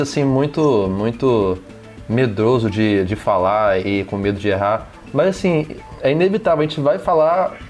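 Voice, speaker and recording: Man, Brazilian Portuguese accent, podcast host, casual chat, halting, many restarts, mid pitch. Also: a little mellow. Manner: hesitant, frequent restarts and tag questions.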